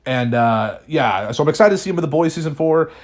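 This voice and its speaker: A young man hosting a casual video, talking off the cuff with fillers and restarts, his pitch jumping up when he starts a new point. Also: expressive, fairly quick. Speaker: YouTube host. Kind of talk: casual speculation vlog.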